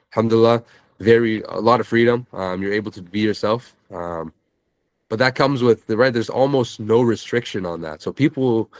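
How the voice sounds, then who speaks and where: A man's voice: medium pitch, no echo. A man answering as an interview guest, casual and reflective, often checking that the listener follows. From San Francisco Bay Area, California. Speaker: interview guest, social media creator.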